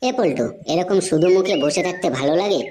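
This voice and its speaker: A woman voicing a crude, excitable young male slacker in a comedy cartoon, with slangy banter. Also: on the low side, fairly quick, a little hiss or hum, lightly acted. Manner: foul-mouthed, slangy banter.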